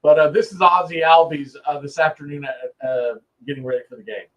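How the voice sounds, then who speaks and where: A man hosting a casual live sports stream, speaking bluntly and opinionatedly in a halting, self-correcting way. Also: fairly high pitch, steady tone, no echo, lo-fi sound.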